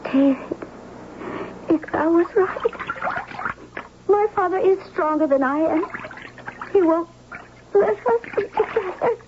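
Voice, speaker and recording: Through a muffled, hissy 1970s radio recording comes a devoted young sea woman. She speaks high and rather slowly, with a tender, otherworldly solemnity suited to a tragic romance. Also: animated, exaggerated voice. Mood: resigned. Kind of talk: 1970s radio drama acting.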